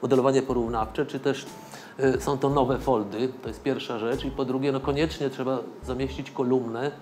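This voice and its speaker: A middle-aged man, a professor, speaking thoughtfully in an office interview and seminar, pausing and correcting himself. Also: medium pitch, steady tone, a little mellow, faint music behind. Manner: thoughtful, with pauses and self-corrections. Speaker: scientist and professor.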